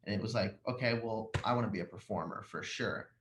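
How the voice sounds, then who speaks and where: Male, twenties, native Arabic speaker, animated casual storyteller on a video call, roomy sound with faint noise. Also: lowish voice, fast talker. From Jordan, moved to the United States as a young child. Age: 20s. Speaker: podcast guest, rock singer. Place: living room at home, indoors, on a video call. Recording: echoey room, faint background noise.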